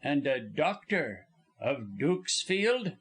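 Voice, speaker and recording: Smooth, courteous Austrian gambler with a marked accent, voiced by a male narrator. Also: a little high, big pitch swings, slow, fairly bright tone, exaggerated voice. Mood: suave. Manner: polished, courteous, formal. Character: smooth suave Austrian card-sharp, young man.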